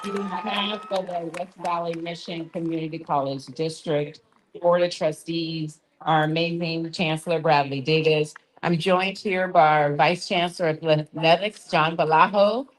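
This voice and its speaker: A woman's voice: deep voice, fairly even intonation, normal speed, low-quality recording. A woman speaking as a college president, delivering a formal welcome speech.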